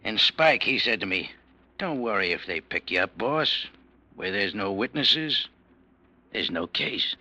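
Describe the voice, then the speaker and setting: An actor playing a menacing male gangster boss who threatens quietly in a 1940s radio drama, on a muffled old recording. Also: on the low side, big pitch swings, a little slow, exaggerated voice.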